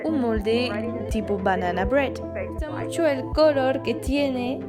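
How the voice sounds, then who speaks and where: A woman with a French accent narrating recipe steps as a voice-over, giving steady step-by-step instructions. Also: high voice, unhurried. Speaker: baking vlogger. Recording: voice-over with music behind.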